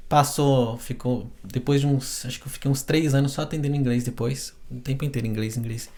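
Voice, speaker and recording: A man's voice: mid-range voice, steady tone, quick pace, a little mellow. A male interview host in casual chat, chiming in to finish his guest's thoughts.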